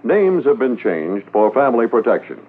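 A man's voice: mid-range voice, sing-song, moderate pace. A man announcing a 1950s radio drama in a declamatory, dramatic scripted style. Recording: narrow-band 1950s radio transcription.

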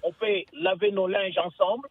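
A man's voice: sits high, fairly even intonation, moderate pace, breathy edge, dry recording. A man from the Congo calling in to a radio show, speaking with impassioned emphasis over a phone line. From Democratic Republic of the Congo. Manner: impassioned, emphatic, swooping intonation. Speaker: caller. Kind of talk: call-in radio opinion.

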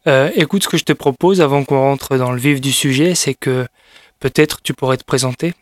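A man's voice: mid-range voice, brisk, dry recording, clean studio sound. A man hosting an interview podcast, asking friendly, informal questions and summing up what his guest says. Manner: friendly, informal, sums up and asks follow-ups. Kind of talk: interview conversation.

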